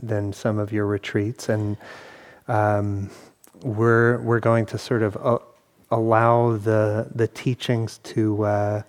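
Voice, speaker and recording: Halting, slow-talking man meditation teacher. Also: fairly low pitch, darkish tone. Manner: halting, many pauses and fillers, self-deprecating. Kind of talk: welcoming talk at a retreat. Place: meditation hall.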